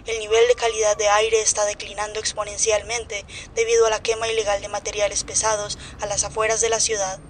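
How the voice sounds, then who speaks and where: A woman's voice: medium pitch, level tone, brisk, few pauses, a little hiss or hum, lightly acted, low-quality recording. A woman playing an automated voice assistant that reads out messages and alerts in a formal, neutral manner in an audio drama. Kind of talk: scripted announcement in an audio drama.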